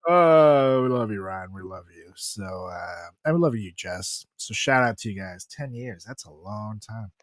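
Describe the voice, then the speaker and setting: A man's voice: lowish voice, animated, a little slow, hardly any pauses, dry recording. A man co-hosting a podcast in casual chat, joking and laughing often as he hypes the show. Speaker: podcast co-host.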